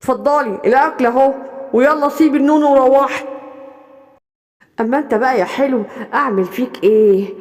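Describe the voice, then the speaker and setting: A woman voicing a scheming male lion villain in an Egyptian Arabic accent, slow and menacing. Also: high-pitched, a little mellow, natural voice. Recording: long hall reverb.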